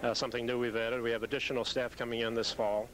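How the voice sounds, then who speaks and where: Quick-talking middle-aged American shop owner. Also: male voice, mid-range voice, flat delivery, fairly bright tone, low-quality recording. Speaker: pro shop owner, interview guest. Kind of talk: promotional interview answers. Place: indoor bowling alley. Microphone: interviewer's handheld microphone. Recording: faint background noise on videotape.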